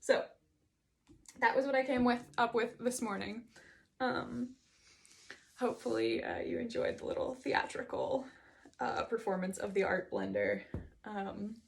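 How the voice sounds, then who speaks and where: A woman's voice: a little high, lively intonation, unhurried, gravelly, pauses often. A woman giving a reflective solo monologue, hesitant and digressive as she thinks aloud about her writing. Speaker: host reading and discussing own writing.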